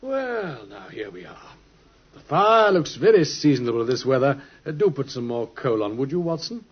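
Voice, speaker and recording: Shrewd British detective, polite and commanding, very lively intonation, muffled vintage radio drama. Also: male voice, medium pitch, breathy edge. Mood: suavely probing. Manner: polite, brisk, knowing. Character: shrewd, urbane gentleman detective, quietly commanding. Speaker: actor in a radio play. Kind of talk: old-time radio drama acting.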